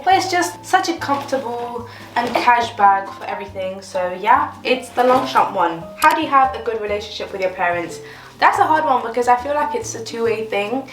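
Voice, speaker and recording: A woman's voice: fairly high pitch, fairly bright tone, roomy sound. A woman in her twenties chatting casually and upbeat while answering viewer questions in her kitchen. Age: 20s. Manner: chatty and upbeat, with light laughs. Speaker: vlogger. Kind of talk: casual Q&A chat.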